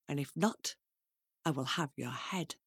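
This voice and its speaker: A stern storybook king, as a woman storyteller plays him, issues threats slowly in a lowered voice. He is commanding and deliberate, with a dry sound around the words. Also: creaky, slightly rough, dry recording, exaggerated voice. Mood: cold threat. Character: stern, threatening king giving harsh orders. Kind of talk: storybook character voice.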